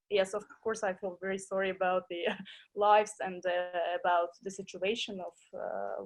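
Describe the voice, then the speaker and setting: A woman's voice: medium pitch, rough recording. A woman, a guest in a webinar interview, speaking hesitantly with many fillers and frequent small laughs. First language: Russian. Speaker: guest entrepreneur.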